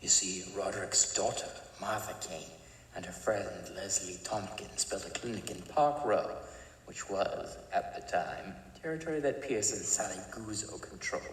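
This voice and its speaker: A disfigured criminal chemist, played by a man, with a very low voice and a calm, clipped, detached delivery. He sounds set back from the mic in an echoey room with a long tail of reverb. Faint background noise sits under the voice. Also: far from the mic, close to an everyday voice. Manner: calm, clipped, matter-of-fact. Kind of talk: fan audio drama acting.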